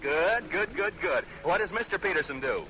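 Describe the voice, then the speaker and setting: A man's voice: high-pitched, expressive, normal speed, bright, forceful tone, poor audio. An American man hosting a 1940s live radio quiz show with chatty, showman-like patter, on an old broadcast recording. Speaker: radio game show host.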